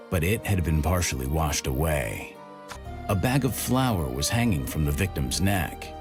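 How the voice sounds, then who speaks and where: Casting: earnest police chief, very low voice, animated delivery, music behind. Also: male voice, full character voice. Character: earnest, troubled male small-town police chief. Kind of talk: video game voice acting.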